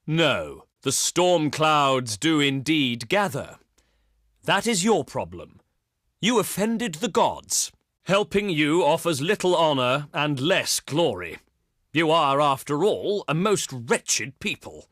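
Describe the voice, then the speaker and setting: A man's voice: fairly high pitch, fairly bright tone, no room sound, clean studio sound, full character voice. A male actor playing a haughty, eloquent ancient Greek diplomat in a video game, speaking in pompous, declamatory oratory. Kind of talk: theatrical scripted game voice lines.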